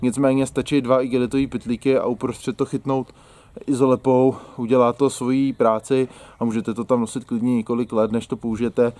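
A man's voice: medium pitch, level tone, mellow, no room sound. A man in his thirties hosting a casual how-to video, chatty and joking, recorded outdoors in a yard. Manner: chatty, joking, colloquial Czech. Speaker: outdoor gear channel host. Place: outdoors in a grassy yard by a wooden shed.

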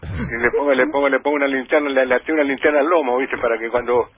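A man's voice: medium pitch, fairly even intonation, quick pace, husky, no echo. A man from Santa Fe with a provincial Argentine accent, a radio humorist chatting on the phone, rambling and repeating his words. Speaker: humorist and overnight radio presenter, interviewed by phone. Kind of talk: comic chat, telling jokes. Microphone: phone call. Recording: muffled, narrow phone line.

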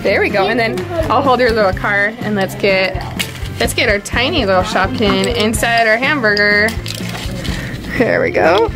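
A woman's voice: medium pitch, lively intonation, normal speed. A woman in her thirties chatting casually with a young child as a family vlogger, upbeat, gushing and encouraging. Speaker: family vlog host, mother. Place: in a car, a store and an outdoor parking lot. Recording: music behind the voice.

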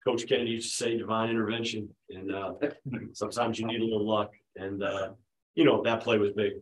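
A man in his forties, a head basketball coach, answering questions at a postgame press conference in a relaxed, conversational way with many fillers, speaking into a table microphone. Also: fairly low pitch, brisk, low-quality recording. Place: press room at a team table, his children beside him.

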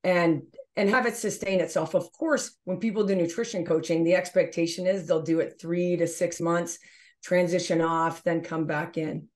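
A woman's voice: fairly low pitch, steady tone, moderate pace, darkish tone. A middle-aged woman giving explanatory answers as an interview guest on a video call. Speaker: interview guest, gym owner.

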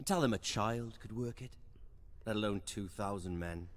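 A man's voice: on the low side, normal speed, noisy background, natural voice. An actor playing a vain, melodramatic young male wizard given to petulant tantrums, in radio drama style. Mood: teasing, brisk.

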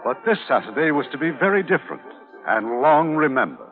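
Animated vintage radio drama host, male. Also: a little high, brisk. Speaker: producer and host. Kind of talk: dramatic 1950s radio narration. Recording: phone-like old radio, music behind.

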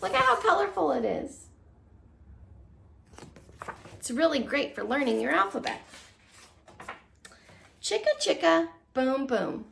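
Really high-voiced preschool teacher lady doing a storytime read-aloud, sing-song and slow, super bouncy pitch. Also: sing-song, gravelly, a little hiss or hum. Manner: sing-song, exaggerated storytelling delivery for young children. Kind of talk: animated children's read-aloud. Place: small living room, seated on a couch.

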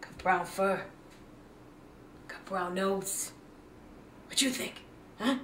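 A woman's voice: mid-range voice, slow, a little hiss or hum. Woman playing a surly dog, echoey room. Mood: deadpan, defiant. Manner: deadpan, defiant. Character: gruff, foul-mouthed, cigar-smoking female dog. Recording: echoey room, distant mic.

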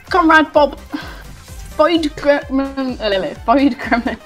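High-pitched, excitable woman gamer. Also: slow pace. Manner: excitable, exclamatory. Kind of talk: casual gaming chat with friends. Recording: music mixed over voice chat. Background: music.

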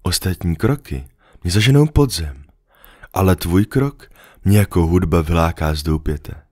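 A man reading a storybook, voicing a lonely, patient female fox in a soft, earnest, coaxing way. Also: low voice, expressive, dry recording, studio quality. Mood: earnest, wistful.

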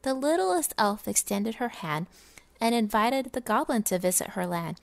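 A woman giving a dramatic, expressive storybook reading in sing-song rhyming verse. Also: a little high, normal speed, creaky, no echo. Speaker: gaming video creator reading a story.

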